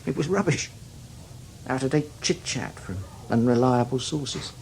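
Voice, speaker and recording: An actor playing a seasoned, cynical older British spy in a radio drama, talking in a wry, knowing, emphatic way. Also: male voice, mid-range voice, a little slow, creaky, darkish tone, a little hiss or hum, rough recording. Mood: scornful, explanatory. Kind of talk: radio drama acting, conversational.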